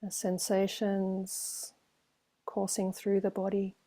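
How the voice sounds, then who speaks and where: A woman leads a guided meditation as a teacher. Her voice is dark and relaxed, with very little rise and fall. She speaks gently and soothingly, leaving long silences between short phrases. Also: medium pitch, rough recording. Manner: slow, gentle, soothing delivery with long pauses.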